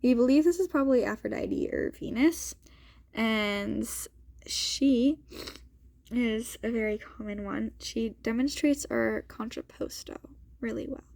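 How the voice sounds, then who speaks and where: A woman's voice: on the high side, a little slow, vocal fry, a little mellow, no echo. A young woman with a sniffly cold, chatting informally and thinking aloud as she presents a student vlog. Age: young adult. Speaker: student vlogger. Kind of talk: casual chatty vlog walkthrough.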